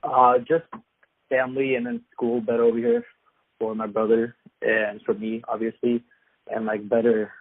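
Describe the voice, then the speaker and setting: A man's voice: medium pitch, normal speed, dry recording. A young man from Afghanistan, a guest fighter, answering casually with lots of fillers. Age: young adult. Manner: loose, filler-heavy, plain-spoken. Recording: husky, tinny phone-like line.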